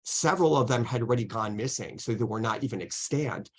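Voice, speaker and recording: A man's voice: medium pitch, a little fast. A man answering questions as a guest lecturer in an online academic talk, careful and hedging, with many restarts. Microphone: video call.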